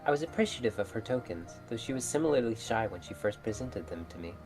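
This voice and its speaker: A woman's voice: rumbling low, lively intonation, fast talker, music in the background, poor audio. A woman voicing a proud, blunt, aloof mermaid in a fairytale visual novel, with formal, stately phrasing. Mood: wistful. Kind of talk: visual novel character read-aloud.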